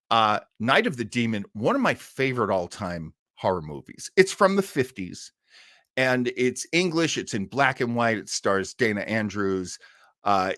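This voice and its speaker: Man, livestream host, very lively intonation, dry close sound, chatty, enthusiastic, halting and rambling. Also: mid-range voice, dry recording. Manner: rambling, halting, searching for words. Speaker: host of an old time radio show livestream. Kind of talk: casual, chatty livestream introduction.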